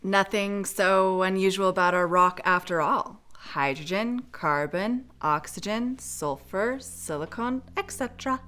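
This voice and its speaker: A woman's voice: medium pitch, unhurried, few pauses, a bit bright, natural voice. Radio drama scientist, female actor: dry wit, confident, mid-range voice. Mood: analytical.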